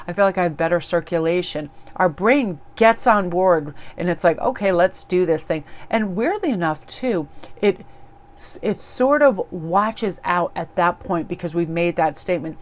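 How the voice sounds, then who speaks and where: Lowish-voiced woman, chatty and earnest, rambling tarot reader talking to her viewers. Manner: rambling, earnest, encouraging, lots of fillers. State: recovering from losing her voice. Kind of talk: casual spoken reflection to viewers.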